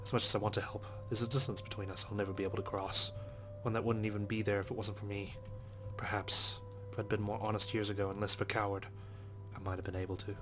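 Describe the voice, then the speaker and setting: Low, brisk, even-toned male game narrator. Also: background noise. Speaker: let's play host. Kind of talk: reading visual novel narration aloud. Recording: game music behind the voice. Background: game music.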